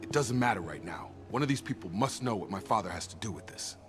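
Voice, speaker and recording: A man's voice: on the low side, expressive, fast, music in the background, lightly acted. An actor playing a troubled, determined man who speaks tersely and insistently in a science fiction game. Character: troubled, determined adult son searching for his father.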